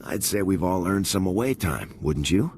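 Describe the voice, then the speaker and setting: An actor playing a steady, respectful male squad leader with the rank of major in a sci-fi video game, speaking in a measured, soldierly way. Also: deep voice, a little hiss or hum. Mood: assured. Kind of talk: video game cutscene voice acting.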